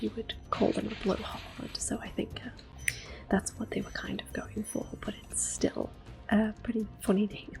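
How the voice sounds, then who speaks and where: A soft-spoken woman giving gentle ASMR gameplay commentary, often slipping into a whisper close to the microphone. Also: mid-range voice, gravelly, rough recording. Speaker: host of a gameplay series. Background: soft game music.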